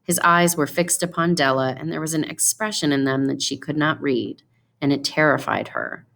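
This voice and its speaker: A woman narrates a story aloud in an expressive, read-from-the-page style. Her voice is quite low for a woman and often drops into vocal fry. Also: normal speed. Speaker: story reader.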